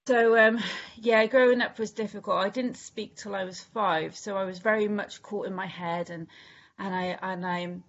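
A Welsh woman tells her recovery story in a halting, candid way, often restarting her sentences. Her voice sits at an ordinary middle pitch for a woman. It comes through muffled, lo-fi call audio. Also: fairly even intonation. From Wales. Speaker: recovery meeting speaker. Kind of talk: personal share at a recovery meeting.

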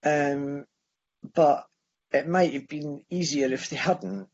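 Halting older Scottish man, slow. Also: fairly high pitch, fairly even intonation, dull audio. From Scotland. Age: 60s. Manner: halting, with many restarts and pauses. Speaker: speaker sharing his story at a recovery meeting. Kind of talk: personal testimony.

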